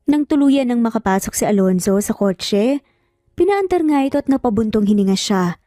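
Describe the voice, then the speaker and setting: A woman's voice: on the high side, animated, normal speed, warm tone, no echo, clean studio sound. A woman narrating a scripted romance story in a dramatic storytelling style. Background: background music.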